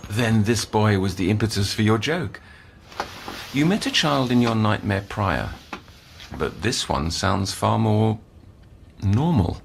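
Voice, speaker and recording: An actor playing a measured, soothing psychiatric counsellor who probes patiently and deliberately in an audio drama. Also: male voice, on the low side, lively intonation, gravelly, a little hiss or hum, heavily acted. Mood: measured, reflective.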